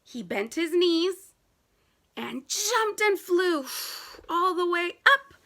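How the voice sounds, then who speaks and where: A woman's voice: very high-pitched, big pitch swings, slow pace, sharp tone. A woman reading a picture book aloud to young children, asking them questions and repeating key words for emphasis.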